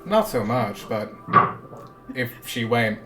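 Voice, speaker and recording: A man's voice: mid-range voice, very animated, takes it slowly, rough recording. Rambling man playing a tabletop game. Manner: rambling, restarts often. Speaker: player. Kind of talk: casual tabletop game chat. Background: music.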